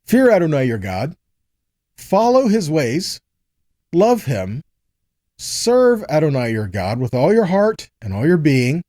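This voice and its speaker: Mellow American man preaching, fairly high voice. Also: no echo, studio quality. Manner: rhetorical questions and frequent check-ins with listeners. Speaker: preacher teaching a scripture lesson. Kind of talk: conversational sermon with scripture readings.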